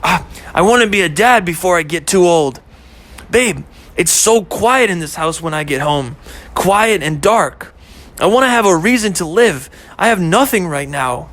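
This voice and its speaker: A man's voice: high-pitched, lively intonation, moderate pace, fairly bright tone. A man playing an earnest, brooding young man. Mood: troubled. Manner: earnest, searching, hesitant. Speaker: boyfriend in second-person story.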